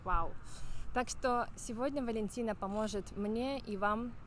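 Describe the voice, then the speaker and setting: A woman hosting an interview in a clear, teacherly way, repeating and rephrasing her guest's answers. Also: on the high side, unhurried, slight background noise. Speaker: host. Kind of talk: casual interview.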